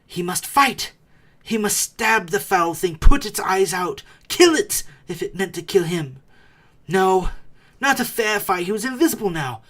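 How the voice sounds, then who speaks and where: A man's voice: sits high, expressive, a little slow, a bit bright. A man reading a storybook aloud as a dramatic narrator, with theatrical, suspenseful delivery. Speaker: audiobook reader.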